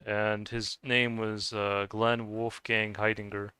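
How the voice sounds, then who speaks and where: A man's voice: fairly low pitch, flat delivery, takes it slowly, pushed and bright, smooth, no room sound. A young man with a slight Scottish-tinged accent, chatting casually and hesitantly while playing a game, often restarting and trailing off. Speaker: gaming video creator. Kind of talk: casual rambling commentary over gameplay.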